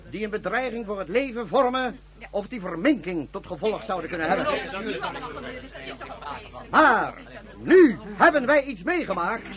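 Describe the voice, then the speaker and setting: Very high-voiced solemn ruler. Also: male voice, a bit bright. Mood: grave, indignant. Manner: rhetorical, emphatic, repeats phrases. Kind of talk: radio drama oratory.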